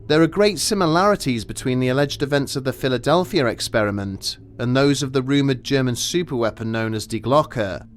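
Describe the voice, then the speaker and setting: A man narrating a scripted documentary story in a measured, grave and formal manner. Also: medium pitch, lively intonation, a little hiss or hum.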